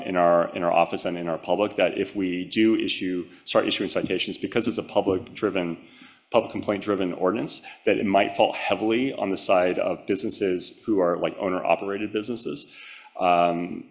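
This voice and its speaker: Guy w a lowish voice doing a conference talk, lots of ums and likes. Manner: casual, many fillers and restarts. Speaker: conference presenter. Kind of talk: presentation and Q&A answers.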